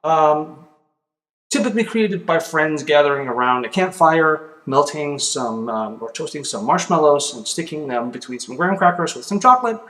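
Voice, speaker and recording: A man in his thirties from Denmark with a Danish accent, explaining a topic casually to camera in a home office. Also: fairly high pitch. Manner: relaxed, conversational, with fillers and pauses. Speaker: video host. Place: small home office with a computer. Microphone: webcam or desk camera, close framing.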